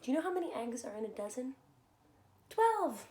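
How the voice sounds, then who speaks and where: Female children's storyteller, high voice, expressive and animated read-aloud delivery, roomy echo with faint noise. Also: fairly quick. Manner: animated, addresses listeners with simple questions. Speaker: children's story reader and soccer coach. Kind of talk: expressive read-aloud of a picture book with asides to young children. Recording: echoey room, faint background noise.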